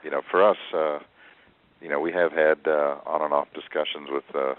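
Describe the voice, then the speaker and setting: A man with a very deep voice answers questions on a conference call, hesitating and restarting his sentences often. He talks in a flat, even tone. The sound is tinny, like a phone line. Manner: halting, many fillers and restarts. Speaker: oil company executive answering analysts.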